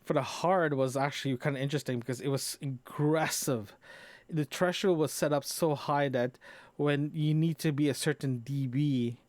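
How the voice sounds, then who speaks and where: A man recording a casual, unscripted solo audio log, talking in a rambling, halting way and often rephrasing himself. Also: fairly high pitch, darkish tone, dry recording. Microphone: close microphone into a recorder, compressed.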